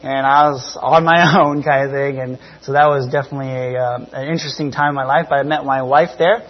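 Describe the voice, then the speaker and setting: A man in his thirties from New Zealand gives an informal church testimony, conversational and halting, often correcting himself. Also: mid-range voice, fairly even intonation, muffled recording. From grew up in New Zealand. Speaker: missionary giving a church presentation. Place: church, speaking to a congregation. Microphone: church PA microphone.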